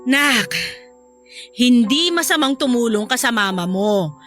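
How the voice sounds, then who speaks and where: A woman playing a protective, loving, excitable mother in a Filipino audio drama, speaking emphatically and emotionally. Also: on the high side, takes it slowly. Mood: admonishing. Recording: music under the voice.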